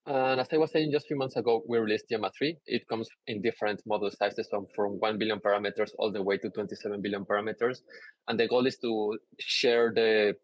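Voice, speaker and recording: A young man from Peru giving a conference talk over slides on a video call, relaxed and explanatory with many "uh" fillers. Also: fairly low pitch, a little fast, dry recording, poor audio. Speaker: conference speaker. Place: indoor room, on a video call.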